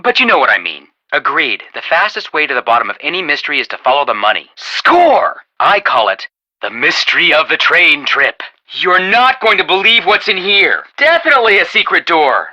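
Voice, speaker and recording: Very bright, excitable young male sleuth. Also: on the high side, moderate pace, exaggerated voice, rough recording. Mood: excited. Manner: chatty, joking, emphatic. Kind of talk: animated video game dialogue.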